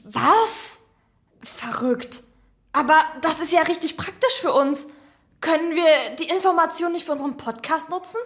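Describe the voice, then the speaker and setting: A woman acts as an eager young female podcaster in an audio drama. Her voice is very high, with a questioning, exclamatory delivery. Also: animated, moderate pace, a bit bright. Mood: astonished, curious.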